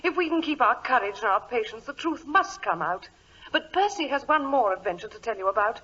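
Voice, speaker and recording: A woman playing a devoted, hopeful young gentlewoman in a 1940s radio drama, speaking tenderly and earnestly. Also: on the high side, animated, fairly quick, breathy edge. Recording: dull, lo-fi old radio recording.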